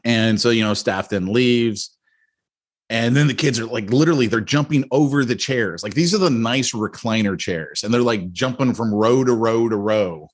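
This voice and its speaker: A middle-aged man co-hosting a podcast, telling a story in a rambling, animated way with many restarts and asides. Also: mid-range voice, moderate pace. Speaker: podcast co-host. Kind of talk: casual storytelling chat.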